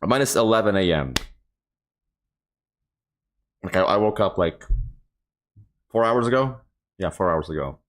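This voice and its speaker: A man's voice: sits low, expressive, normal speed. A man hosting a casual, improvised livestream, talking in an informal, rambling and slightly scattered way. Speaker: live streamer and host.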